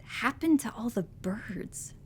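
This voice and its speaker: An actress playing a perky, unflappable nurse with a breezy, playful manner in a sci-fi audio drama. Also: female voice, on the high side, lively intonation, takes it slowly, slightly rough, heavily acted. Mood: puzzled. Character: perky, unflappable, blunt young woman nurse.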